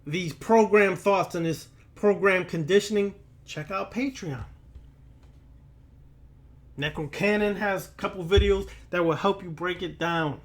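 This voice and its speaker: High-pitched, slow-paced man preaching emphatically. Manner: emphatic, repeats words and phrases; preachy, admonishing, addresses listener directly. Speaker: occult lecturer and teacher. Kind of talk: impromptu sermon-like lecture to camera.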